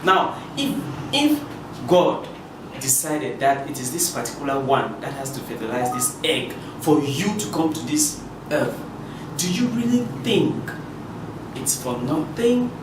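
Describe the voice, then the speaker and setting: A man's voice: a little high, big pitch swings, measured pace. A man from Cameroon giving a preachy motivational talk to his viewers, with many repeated words and restarts. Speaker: motivational speaker. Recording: echoey room from a distance with noise.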